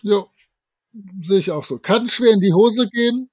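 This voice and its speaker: Playful, higher-pitched man chatting. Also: normal speed, a little mellow, breathy edge. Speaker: podcast co-host. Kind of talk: casual chat reviewing an audio drama.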